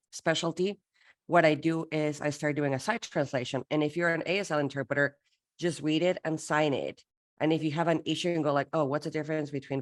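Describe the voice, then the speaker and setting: Woman from Peru, low voice, lively workshop presenter who acts out exchanges. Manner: lively, playful teaching with acted-out dialogue.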